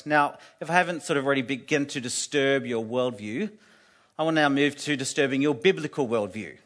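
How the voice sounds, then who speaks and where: Earnest man preaching. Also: on the high side. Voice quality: loud voice. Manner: earnest, drops to a hush on solemn points. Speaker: guest preacher from an anti-slavery group. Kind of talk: sermon. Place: church.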